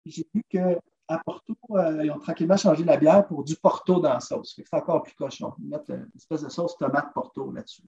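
A man's voice: a little high, brisk, few pauses, husky. A man with a Québécois accent, chatting as a podcast guest and eagerly walking through recipes. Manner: chatty, lively, keeps saying "listen" as he explains recipes. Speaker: guest, drummer and food traveler. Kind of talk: casual interview chat. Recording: dull lo-fi recording.

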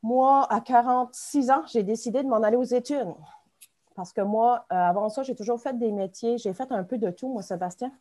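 A woman's voice: a little high, normal speed, darkish tone. A woman in her forties from the Acadian Peninsula with an Acadian French accent, chatting as a podcast guest in a rambling, self-mocking way. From Acadian Peninsula, New Brunswick. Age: 40s. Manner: chatty, rambling, laughs at herself. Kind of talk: casual interview chat.

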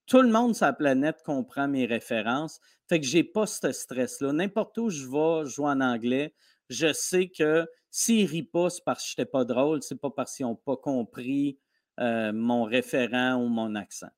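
A man with a Québécois French accent, a comedian guest telling stories in a casual podcast interview, animated and quick, with many restarts. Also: mid-range voice. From Quebec. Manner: animated storytelling, fast with restarts.

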